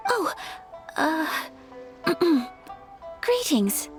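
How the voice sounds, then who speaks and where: An actress playing a polite, gentle young noblewoman in a video game, courteous and measured in manner. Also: extremely high pitch, sing-song, heavily acted. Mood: modest, flustered. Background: faint music.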